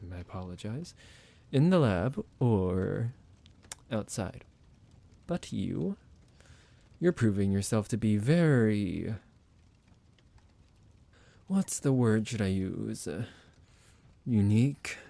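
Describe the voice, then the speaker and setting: A man playing a slow, creaky, possessive vampire doctor. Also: lowish voice, no room sound, heavily acted. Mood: condescending, amused, then menacing, teasing. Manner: measured, formal, menacing, softly commanding. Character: psychotic male vampire doctor, possessive, condescending captor. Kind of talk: ASMR roleplay acting.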